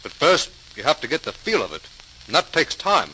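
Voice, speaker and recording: An actor playing a patient, responsible older brother who instructs practically, in a 1940s radio drama. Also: male voice, fairly high pitch, huge pitch swings, fairly quick, pushed and bright, full character voice, rough recording. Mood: patient, brisk. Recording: hissy 1940s radio recording.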